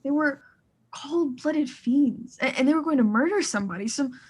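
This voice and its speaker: A woman's voice: high-pitched, animated, moderate pace. A woman playing an anxious, sickly, demanding wife in a suspense radio play, fretful and insistent. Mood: horrified, agitated.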